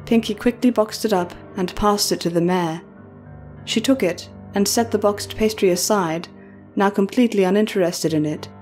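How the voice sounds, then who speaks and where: Female narrator for horror audio story, mellow, dark-toned delivery, mid pitch, light music bed. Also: normal speed. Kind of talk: scripted horror fan fiction narration.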